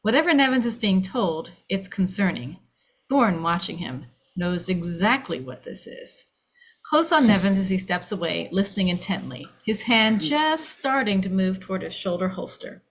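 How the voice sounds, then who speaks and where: A woman's voice: medium pitch, expressive, normal speed. Woman narrating screenplay stage directions. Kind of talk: screenplay table read narration.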